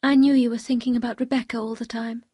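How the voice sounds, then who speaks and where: A woman's voice: on the high side, little pitch movement, fast talker, warm tone, husky, no echo, studio quality. A woman voicing a shy, devoted young wife in an audiobook, anxious, earnest and pleading. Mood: hurt, earnest. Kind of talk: audiobook character dialogue.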